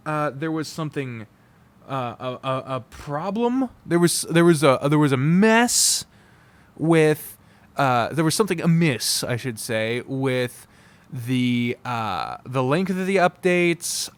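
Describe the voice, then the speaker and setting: A man's voice: fairly high pitch, fairly bright tone, clean tone. A man giving an unscripted, casual review monologue, candid and rambling, with emphatic wording and some swearing. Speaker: YouTuber reviewing visual novels.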